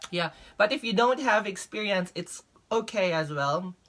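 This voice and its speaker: A woman's voice: medium pitch, lively intonation, normal speed, a bit bright, soft background music. A young woman from the Philippines with a Filipino accent, giving casual advice as a vlog host. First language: Visayan (Filipino). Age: young adult. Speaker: vlog host, English teacher.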